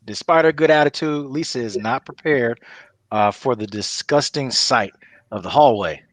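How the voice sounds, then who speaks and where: A man's voice: medium pitch, normal speed, no echo. A man narrating the stage directions of a comedy table read, reading scripted lines with casual asides.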